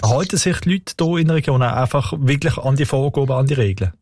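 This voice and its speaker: A man's voice: mid-range voice, fast, darkish tone, slight background noise. A man from the area, a radio reporter putting conversational, probing questions to a guest.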